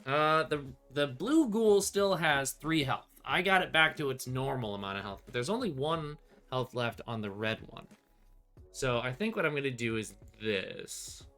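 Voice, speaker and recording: A man's voice: mid-range voice, expressive, normal speed, sharp tone, faint music behind. A middle-aged man gives casual, wry play-through commentary on a solo tabletop game, thinking aloud as he goes. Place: small indoor room with bookshelves.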